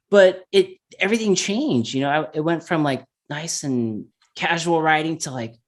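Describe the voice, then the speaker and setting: A man from California talking casually as an interview guest, animated and laughing often. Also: a little high, a little slow. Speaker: interview guest, mountain biker. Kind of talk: casual conversation.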